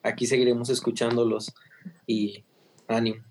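A man with a Sonoran accent, a podcast guest speaking in a halting, grateful way over a call. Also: mid-range voice, little pitch movement, a little mellow, slight background noise, poor audio. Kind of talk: casual chat.

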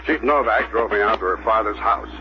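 A man plays a persistent police detective in a seventies radio drama. His lowish American voice is measured, probing and matter-of-fact, heard on a muffled recording. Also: music in the background, natural voice. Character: methodical, persistent male police detective narrating his case. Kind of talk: 1970s radio drama narration and dialogue.

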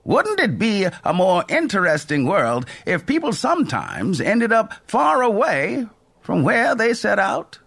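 A man's voice: sits high, huge pitch swings, unhurried, vocal fry, a bit bright, pitched above the performer's own voice, heavily acted. A man voicing a cheerful, chatty old male train conductor with a folksy, teasing manner. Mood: jovial.

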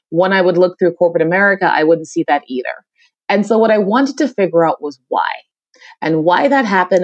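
A woman's voice: fairly low pitch, darkish tone, no echo, highs cut off. A middle-aged woman from the Caribbean, talking energetically as a podcast interview guest and often repeating her phrases. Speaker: guest, diversity consultant.

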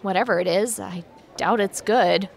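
A woman's voice: medium pitch, moderate pace, vocal fry, soft background music, natural voice. A woman playing a proud, confrontational teenage schoolgirl in a naturalistic audio drama, cutting and condescending. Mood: guarded. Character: snippy, proud teenage girl classmate, coolly confrontational.

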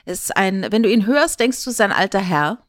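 A woman's voice: medium pitch, lively intonation, fast talker, no room sound, studio quality. A woman co-hosting a podcast, talking in a casual, conversational style. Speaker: podcast co-host.

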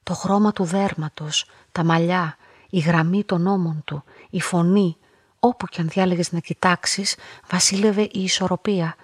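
A woman's voice: lowish voice, steady tone, slightly rough, no echo, studio quality. An actress playing a lonely, observant young woman who narrates her own story reflectively in a radio play. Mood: reflective, uneasy. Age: young adult. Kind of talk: first-person literary radio drama narration.

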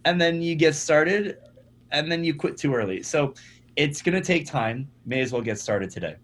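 A young man, a startup founder, talking casually as a podcast guest, energetic and often repeating his first words. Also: on the high side, moderate pace. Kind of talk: conversational podcast interview.

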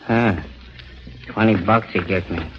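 Man playing a gruff hotel keeper, muffled radio. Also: on the low side, fairly even intonation, a little slow, slight background noise, lightly acted. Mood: puzzled, wary. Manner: blunt, slangy talk. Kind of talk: 1940s radio drama acting. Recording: dull lo-fi 1940s radio recording.

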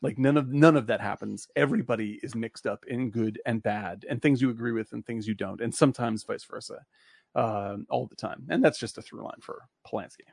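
A man casually discussing a film with a friend, talking in a rambling, animated way. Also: mid-range voice, fairly quick, gravelly, no room sound, clean studio sound.

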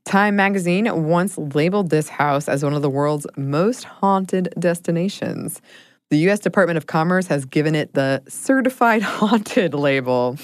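A woman's voice: fairly low pitch, lively intonation, a little slow, no echo, clean studio sound. An American woman chatting casually as a podcast host. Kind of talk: casual co-hosted podcast chat.

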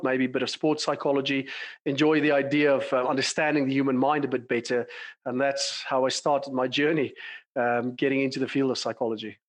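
A South African man in his forties answers questions over a remote call at an easy conversational pace. He speaks thoughtfully, pausing on frequent fillers as he explains things, his voice sitting in an ordinary middle register. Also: mid-range voice. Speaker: clinical psychologist, podcast guest. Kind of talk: conversational interview.

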